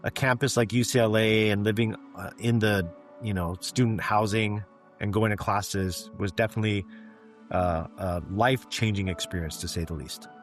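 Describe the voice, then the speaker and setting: A middle-aged man from Canada recounts his past at a steady, thoughtful pace, often pausing on "um" and "you know." His voice sits low. Soft music plays faintly behind him. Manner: reflective, measured, frequent fillers like um and you know. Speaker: guest, physician executive. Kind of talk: interview storytelling.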